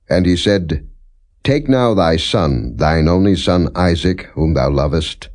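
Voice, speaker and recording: A man with a low, dark voice reads scripture aloud as a narrator. His delivery is solemn and measured, with deliberate phrasing and no dramatization. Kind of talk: non-dramatized scripted Bible reading.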